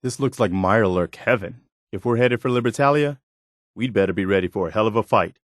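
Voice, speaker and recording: An actor playing an earnest, steadfast militia officer companion in a post-apocalyptic video game, speaking sincerely and plainly with a folksy, dutiful manner. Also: male voice, on the low side, a little fast, dry recording, studio quality, close to an everyday voice. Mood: grave.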